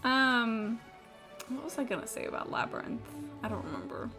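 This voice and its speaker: A high-pitched woman streaming a game, talking casually to herself and her viewers. She rambles and swears freely while game music plays behind her. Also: big pitch swings, normal speed. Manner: sweary, rambling, thinking aloud. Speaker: live streamer. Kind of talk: casual gameplay stream chat.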